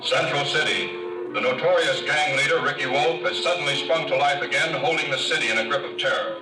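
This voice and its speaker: An actor playing an urgent, dramatic newscaster announcing a crime bulletin in 1940s radio style. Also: male voice, fairly high pitch, fairly quick, close to an everyday voice. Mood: urgent, alarmed. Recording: roomy, distant mic, music behind.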